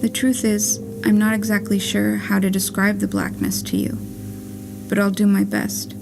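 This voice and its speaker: Woman, dramatic monologue reader, frequent vocal fry, wry storytelling, music underneath. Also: mid-range voice, little pitch movement, normal speed, rough recording. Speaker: reader of own written play. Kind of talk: scripted dramatic monologue, letter narration for puppet show. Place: live theatre performance with music.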